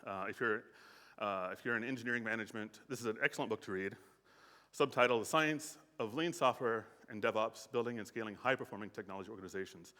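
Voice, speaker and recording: A middle-aged American man presents at a conference in a casual, unscripted way, stumbling over restarts and sprinkling in fillers. His voice is bright and forward, and a long hall reverb trails behind it. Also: fairly low pitch, quick pace. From United States. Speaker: conference speaker. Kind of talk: conference talk.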